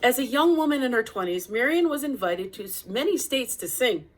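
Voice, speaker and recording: A woman's voice: a little high, animated, a little fast, rough recording. A woman reading a children's picture-book biography aloud as storytelling narration, with an occasional small stumble.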